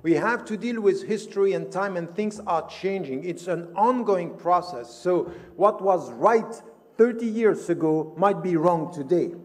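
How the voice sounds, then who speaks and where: A man speaks at a formal conference in a notably high-pitched voice that rings through a reverberant hall. He is emphatic and didactic, building his argument step by step and often answering his own questions. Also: lively intonation, measured pace. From Switzerland.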